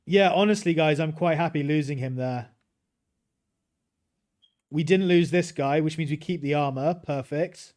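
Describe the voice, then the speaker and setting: A man's voice: fairly high pitch, measured pace, long pauses, darkish tone. A British man casually commentating his gameplay on a livestream and chatting with his viewers. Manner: easygoing, chats with viewers while playing. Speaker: streamer.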